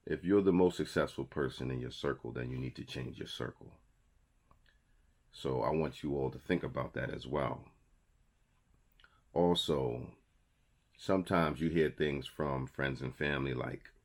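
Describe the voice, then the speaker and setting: A man in his thirties with a New York accent, giving a motivational talk to camera in a conversational, emphatic way and repeating his key phrases. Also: extremely low pitch. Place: small indoor studio with a logo wall.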